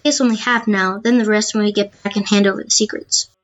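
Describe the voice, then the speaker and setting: A woman playing a calm, confident male monk in an amateur audio play, with measured, formal delivery. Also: mid-range voice, soft background music, natural voice. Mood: earnest, respectful. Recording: dull lo-fi home recording.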